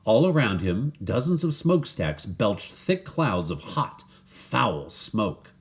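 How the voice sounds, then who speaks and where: Slow, very animated man reading a storybook. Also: fairly low pitch. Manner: animated, dramatic. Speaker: story reader. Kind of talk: expressive read-aloud of a children's picture book.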